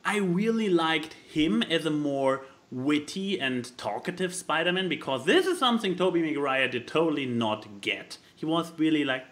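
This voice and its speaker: A man chatting casually about films as a podcast host, in an animated, rambling way that often stalls and repeats itself mid-thought. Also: fairly high pitch, moderate pace, hardly any pauses. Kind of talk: casual film chat.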